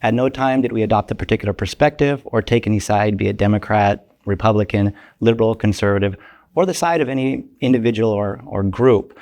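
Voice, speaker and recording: An older man, an economics professor, giving a measured, formal, lecture-like explanation. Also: fairly low pitch, a little mellow. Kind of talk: prepared explanatory talk.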